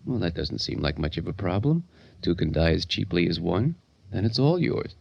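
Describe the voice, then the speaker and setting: A man's voice: deep voice, big pitch swings, normal speed, creaky, husky, no echo, highs cut off, full character voice. An actor playing a disturbed, scheming son in a radio drama, stammering and shifting tone as he plots murder with nervous glee. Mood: sly, conspiring. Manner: stammering, talking to himself in shifting tones. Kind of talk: old-time radio drama acting.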